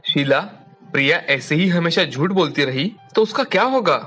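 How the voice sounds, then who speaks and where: A man voicing a wealthy, earnest merchant father in a formal, deliberate way for an animated moral story. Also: on the high side, faint music behind. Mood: worried. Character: wealthy merchant father, earnest and concerned about his daughter.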